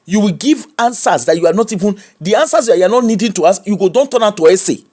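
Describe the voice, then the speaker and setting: A man with a Nigerian accent giving opinionated commentary, animated and mocking, slipping into pidgin. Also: sits high, fast talker. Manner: animated, switches into pidgin and mimics people.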